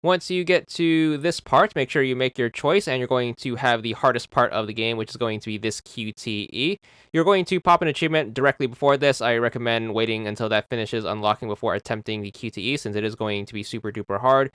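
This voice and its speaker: A man's voice: mid-range voice, a little fast, fairly bright tone, clean tone, dry recording. A man narrating a game achievement walkthrough, methodically repeating step-by-step instructions into a close microphone. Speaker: achievement guide host.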